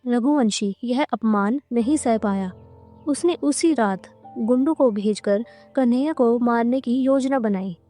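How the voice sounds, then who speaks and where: A woman's voice: fairly high pitch, mellow. A woman narrating a scripted cartoon moral story as its storyteller.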